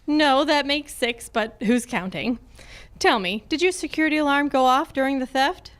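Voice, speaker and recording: A woman's voice: high-pitched, fairly bright tone. A woman acting a sassy, wry female private detective in an old-time radio detective drama. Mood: brisk, wry. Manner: wry, brisk, teasing. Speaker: amateur radio club performer.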